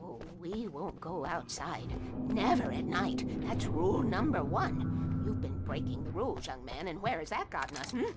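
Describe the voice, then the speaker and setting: A woman's voice: on the low side, very animated, moderate pace, over loud music. An actress in a video game playing an eccentric, fearful older woman who talks in cryptic, urgent bursts and whispers. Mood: urgent, scolding. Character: eccentric, fearful older woman, obsessive guardian against darkness. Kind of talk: dramatic video game acting.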